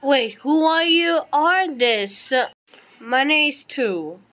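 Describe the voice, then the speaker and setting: A woman voicing dialogue for an amateur animated story in a dramatic, exclamatory way. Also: sits high, slow pace.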